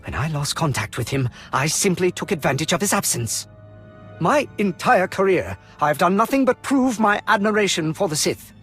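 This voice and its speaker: A man's voice: high-pitched, sing-song, moderate pace, a bit bright, breathy edge, soft background music, full character voice. An actor playing a proud, idealistic Imperial colonel in a video game, speaking formally and earnestly in his own defence. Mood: impassioned, defensive. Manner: formal, earnest, impassioned speechmaking. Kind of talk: dramatic video game acting.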